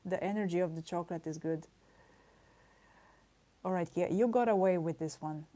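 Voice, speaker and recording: A woman with a slightly low, soft and mellow voice chats casually on a livestream while she draws. She wanders from thought to thought, laughs easily and playfully scolds her viewers with mock outrage. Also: moderate pace. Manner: playful, mock-indignant teasing of chat; rambling, chatty asides with frequent laughs. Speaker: livestreaming artist.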